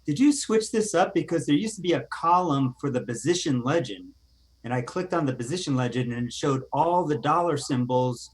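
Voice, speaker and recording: A man's voice: a little high, expressive, brisk. Casual man calling in with a question. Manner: casual, a little unsure. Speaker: caller asking a question. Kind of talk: casual question on a video call. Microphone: computer mic on a video call.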